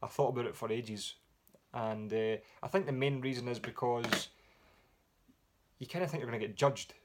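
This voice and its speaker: A man with a Scottish accent answering viewer questions in a relaxed, rambling way with frequent pauses and fillers. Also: medium pitch, background noise. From Scotland. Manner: relaxed, rambling with many ums. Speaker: music vlogger. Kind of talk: casual Q&A vlog.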